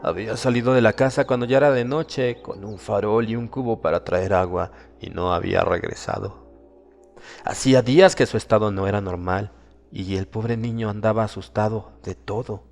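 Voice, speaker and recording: A man narrating a horror audiobook in a dramatic, solemn and suspenseful manner, with deliberate phrasing. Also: on the low side, creaky, darkish tone. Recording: long reverb, music behind. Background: music.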